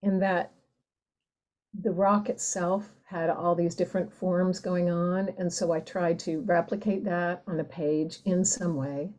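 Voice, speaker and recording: A woman poet talking reflectively and reading her work in a thoughtful, deliberate way. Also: lowish voice, steady tone, a little mellow. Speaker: poet, podcast guest. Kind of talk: reflective talk and poetry reading.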